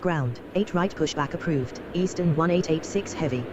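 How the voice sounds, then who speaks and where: Actress as female game pilot, deep businesslike voice, dull noisy radio filter. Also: normal speed. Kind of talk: clipped aviation radio phraseology. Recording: muffled, noisy aircraft radio.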